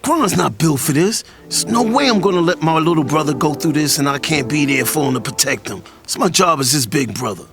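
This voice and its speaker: A man's voice: fairly high pitch, expressive, a little fast, few pauses, over music. A man with an American accent playing a guarded, protective older brother behind bars in an audio drama, talking bluntly. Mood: cold, blunt. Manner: blunt, colloquial.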